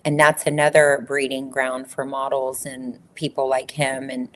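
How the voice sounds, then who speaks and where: A woman's voice: rumbling low, level tone, unhurried. A middle-aged woman, a former model, telling her story in an interview with emphatic repetition. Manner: emphatic, repeats phrases for stress. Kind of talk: candid conversational storytelling.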